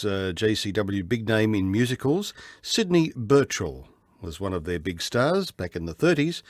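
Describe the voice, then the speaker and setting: Low-pitched Australian man presenting on radio. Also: no echo, clean studio sound. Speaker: radio host. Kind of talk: informal music programme presenting.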